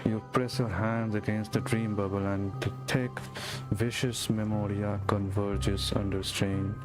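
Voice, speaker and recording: Low-voiced man playing through a game. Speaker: gaming streamer. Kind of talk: casual game playthrough commentary and read-aloud narration. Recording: game music behind the voice. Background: game music.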